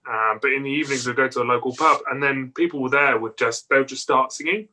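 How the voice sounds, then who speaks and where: A man's voice: mid-range voice, fast talker, pushed and bright. A man in casual chat, easygoing, often trailing off and restarting his sentences.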